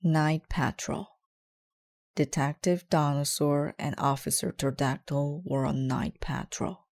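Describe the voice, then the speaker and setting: A woman's voice: low-pitched, steady tone, measured pace, darkish tone. A woman reading a children's storybook aloud as scripted narration. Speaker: children's book reader.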